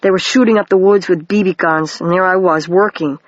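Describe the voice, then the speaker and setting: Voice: mid-range voice, fairly even intonation, brisk, no room sound, natural voice. Earnest young wizard boy, as a reader voices him. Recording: dry, dull lo-fi home recording.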